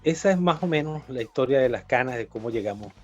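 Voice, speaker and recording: A man's voice: medium pitch, animated, quick pace, muffled recording, soft background music. A man who teaches at a university, answering in a hesitant way with frequent fillers. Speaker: university lecturer guest. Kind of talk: conversational interview answer.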